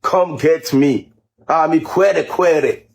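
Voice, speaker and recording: A man's voice: fairly high pitch, slow pace, vocal fry, darkish tone. A man with a West African accent speaking defiantly and tauntingly in a self-recorded video in a shopping mall. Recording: faint noise, shopping mall ambience.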